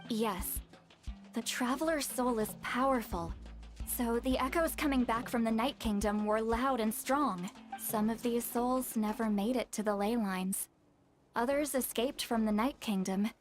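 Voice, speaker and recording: Woman voicing blunt female game shaman, fairly high voice, grave, authoritative, explanatory, faint music underneath. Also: unhurried, close to an everyday voice. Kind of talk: video game voice acting.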